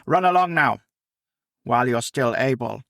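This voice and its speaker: A man voicing a stern, gruff older Victorian professor who speaks curtly and commandingly. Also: medium pitch, expressive, fairly bright tone, no echo, studio quality. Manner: gruff, curt, slow and commanding.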